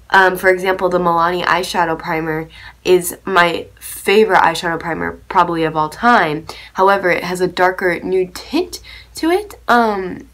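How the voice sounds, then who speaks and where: A woman's voice: on the low side, a bit bright, slight background noise. A young woman with a cold, chatting bubbly and casual through a makeup tutorial in her bedroom, recorded on a camera in front of her. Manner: bubbly, chatty, frequent ums and likes. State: sick with a cold, voice lower than usual. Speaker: beauty vlogger. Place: bedroom, indoors.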